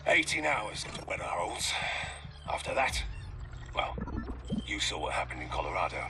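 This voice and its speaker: An actor playing a ruthless, scheming research boss, clipped and theatrical in a dramatic video game cutscene. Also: male voice, sits low, sing-song, moderate pace, music in the background. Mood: commanding, urgent.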